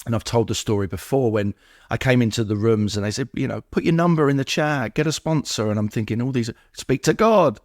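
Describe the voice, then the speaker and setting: A man's voice: medium pitch, a little fast, dry recording, clean studio sound. Middle-aged man telling his story. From England. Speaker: podcast guest. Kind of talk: personal recovery storytelling.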